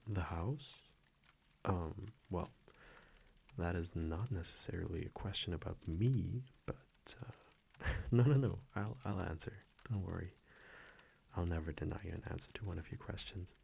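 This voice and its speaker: A man playing an affectionate centuries-old vampire boyfriend in an intimate ASMR roleplay, speaking softly, hesitantly and teasingly into a close microphone. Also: deep voice, unhurried, creaky, a little hiss or hum, exaggerated voice. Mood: teasing, fond. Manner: soft, hesitant, teasing, chuckling between phrases.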